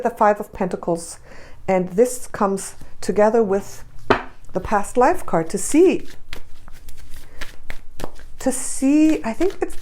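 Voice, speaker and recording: A woman's voice: medium pitch, a little hiss or hum, rough recording. Woman tarot reader with a sore throat. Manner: emphatic, repetitive, with soft hushed stretches when guiding. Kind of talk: intuitive tarot reading and guided visualization.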